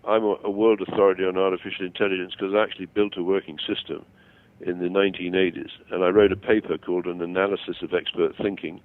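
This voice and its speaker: A man's voice: deep voice, flat delivery, fast talker. Older man on a tinny phone line. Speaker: phone-in guest. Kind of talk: conversational explanation and opinion over the phone. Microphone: telephone. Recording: tinny narrow-band phone call.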